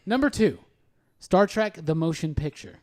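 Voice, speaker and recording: Enthusiastic higher-pitched man on a podcast. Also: animated, moderate pace, darkish tone. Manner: enthusiastic, emphatic. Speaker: podcast host. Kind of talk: casual panel chat about films.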